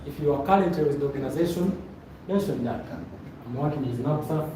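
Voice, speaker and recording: A fairly high-pitched man with a Zambian accent leads a motivational training session. He gives instructions, repeats himself and checks in with his listeners. The voice comes from well away from the mic in a very echoey, noisy room. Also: fairly quick, distant mic. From Luapula, Zambia. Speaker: trainer leading a presentation course. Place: indoor training room with stage.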